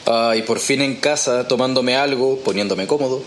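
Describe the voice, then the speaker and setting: A man's voice: mid-range voice, moderate pace, a little hiss or hum, lightly acted. A man playing a tired, guarded working man unwinding at home, in casual audio drama dialogue. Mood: relaxed, evasive.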